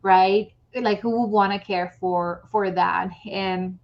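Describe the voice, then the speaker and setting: A woman's voice: mid-range voice, fairly even intonation, takes it slowly. A woman from Mexico speaks English with a Spanish accent, her first language being Spanish. She chats casually as an interview guest, looping through thoughts with "like" and "right". The audio is a bit dull and lo-fi. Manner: chatty, lots of "like" and "right". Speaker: guest author and coach.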